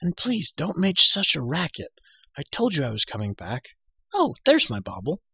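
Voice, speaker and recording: Man voicing a bossy, high-pitched young storybook girl. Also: huge pitch swings, moderate pace, gravelly, bright, forceful tone, breathy edge, no echo, heavily acted. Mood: scolding. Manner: prattling, matter-of-fact, indignant. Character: chatty, bossy, scolding young girl of royal birth. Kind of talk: storybook character voice.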